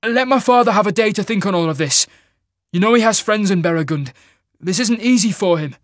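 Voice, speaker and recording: A man's voice: high-pitched, normal speed, a bit bright, dry recording, studio quality, voice pushed up. A man voicing an earnest young warrior king, an insistent and pleading son in a fantasy audiobook. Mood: desperate pleading. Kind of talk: dramatic fantasy audiobook character.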